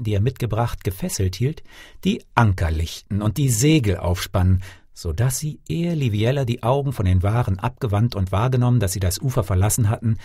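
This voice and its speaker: Expressive male storyteller, lively pitch. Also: slightly rough, dry recording, clean studio sound. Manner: theatrical, expressive. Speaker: fairy-tale narrator, actor. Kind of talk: scripted storybook narration.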